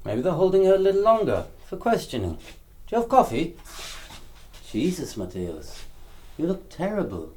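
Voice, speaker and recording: A man's voice: fairly high pitch, huge pitch swings, moderate pace, pauses often, dark tone, slight background noise. An actor playing a young, pragmatic male activist in a radio drama, talking in an emphatic, persuasive way. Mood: reassuring, urgent. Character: young male Berlin activist, pragmatic, insistent, defensive under pressure.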